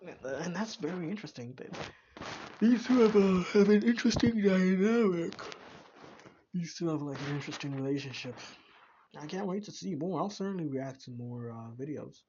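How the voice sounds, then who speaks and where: A man's voice: high voice, animated, moderate pace, mellow, highs cut off, slight background noise. A young man reacting to a video, rambling and hesitant with many restarts. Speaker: reaction channel host. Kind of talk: casual reaction commentary.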